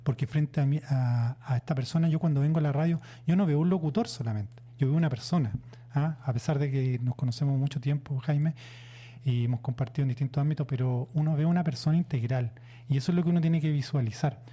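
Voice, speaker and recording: A man, a psychologist guest explaining calmly on radio, with many hesitant fillers. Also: fairly high pitch. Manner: measured, many "eh" fillers and "¿no es cierto?" tags.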